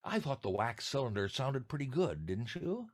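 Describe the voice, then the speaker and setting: A man's voice: mid-range voice, big pitch swings, normal speed. An older American man from New Jersey co-hosting a podcast with jokey, theatrical scripted banter. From New Jersey, United States. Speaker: podcast co-host.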